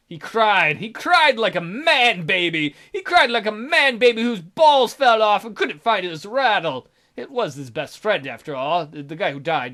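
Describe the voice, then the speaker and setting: Animated woman narrating a game playthrough. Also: fairly low pitch. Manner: irreverent, jokey. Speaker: let's play host reading game text. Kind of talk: narrating a visual novel with joking asides. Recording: music behind the voice.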